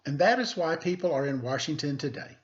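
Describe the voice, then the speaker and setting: A man speaking as an elementary teacher, reading aloud to pupils with deliberate emphasis and informal asides. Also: a little high, lively intonation, dull audio. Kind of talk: read-aloud lesson with casual asides.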